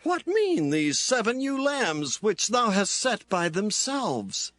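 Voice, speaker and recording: A man doing a high wary old king. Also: big pitch swings, a bit bright, no echo, full character voice. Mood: puzzled. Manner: drawn-out, slow, pleading delivery.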